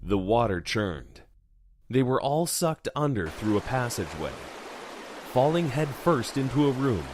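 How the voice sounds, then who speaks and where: A man's voice: mid-range voice, sing-song, measured pace, noisy background. A man reading dramatic scripted narration for a horror audio drama.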